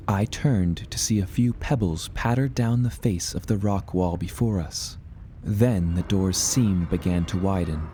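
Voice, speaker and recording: A man narrating a scripted first-person adventure story in a measured, dramatic way. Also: lowish voice, dark tone, slight background noise.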